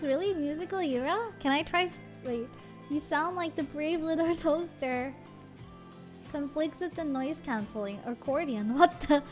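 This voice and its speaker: A woman's voice: sits high, fairly bright tone. A woman streamer chatting casually and giggling, switching between English and Japanese. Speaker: VTuber streamer. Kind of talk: casual live-stream chat.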